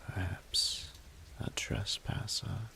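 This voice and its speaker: A man voicing an ancient, haughty and flirtatious male dragon in a roleplay audio drama, speaking in a formal, imperious, deliberate way. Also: level tone, whispered, full character voice. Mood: wary, intrigued. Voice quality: breathy, gravelly low voice.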